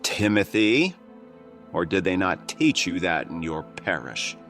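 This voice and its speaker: An actor playing a menacing, accusing priest in an audio drama, deliberate, preachy and condescending. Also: male voice, sits low, very animated, fairly bright tone, soft background music, full character voice. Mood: cold accusation.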